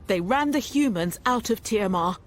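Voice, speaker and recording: Woman as a curious adventurer, lively intonation. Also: medium pitch, normal speed, lightly acted. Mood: curious, pondering. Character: curious, thoughtful female fantasy adventurer. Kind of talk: fantasy video game acting.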